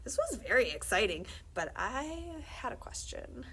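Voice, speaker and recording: A woman's voice: fairly high pitch, sing-song, a little slow, sharp tone, slightly rough, poor audio. A woman reading a children's book aloud expressively for library story time, with asides to her listeners. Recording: faint background noise.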